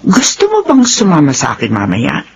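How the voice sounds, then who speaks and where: A low, very animated Filipino voice as a middle-aged aunt in radio drama. She is kindly, patient and motherly. There is faint noise in the background. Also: normal speed, gravelly, muffled recording, exaggerated voice. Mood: fond, reassuring.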